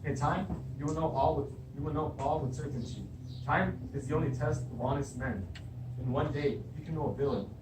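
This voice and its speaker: An earnest nobleman defending his honor in a stage play acted by a young male student. His voice is a bit high and measured, with room echo and clear noise behind it. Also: close to an everyday voice. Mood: earnest, defensive. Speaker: drama student. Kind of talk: beginner stage readers' theatre. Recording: roomy, distant mic, clear noise.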